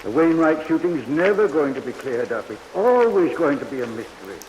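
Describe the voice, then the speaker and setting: Old male morgue attendant, mournful, kindly, foreboding; highish pitch, long reverb, old radio play. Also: sing-song, brisk. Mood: somber. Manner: gentle, regretful, foreboding. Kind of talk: old-time radio drama acting. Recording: reverberant vintage radio recording, rain noise.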